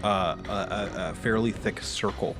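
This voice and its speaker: A man's voice: lowish voice, fairly even intonation, a little slow. A man running a tabletop roleplaying game, describing scenes vividly and slipping into offhand rules talk. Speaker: game master. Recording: music behind the voice. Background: background music.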